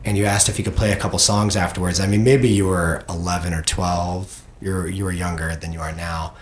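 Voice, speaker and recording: A man's voice: low voice, flat delivery, fairly quick. A middle-aged man talks as a podcast host in a casual, conversational style. His delivery is reflective and full of anecdote. The room rings with noticeable echo, and a faint layer of noise sits behind him. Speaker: podcast host and parent interviewing their child.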